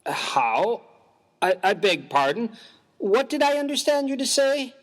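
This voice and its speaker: A man's voice: extremely high pitch, sing-song, a little slow, full character voice. A man playing a prim, bookish, easily bewildered clergyman in a dramatized comic story, speaking formally and with pompous precision. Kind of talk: dramatized reading of a humorous story.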